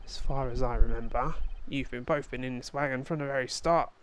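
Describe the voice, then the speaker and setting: A man's voice: medium pitch, steady tone, a little fast, close to an everyday voice. A man voicing a folksy, easygoing wagon driver character in a video game dub. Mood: folksy, unhurried.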